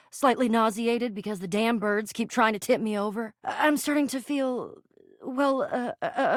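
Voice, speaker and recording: A woman voicing an exuberant, pompous adventurer spirit of boxes and containers in a video game, verbose and excitable in a theatrical style. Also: on the high side, no echo, studio quality, lightly acted. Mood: flustered. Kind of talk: theatrical game character dialogue.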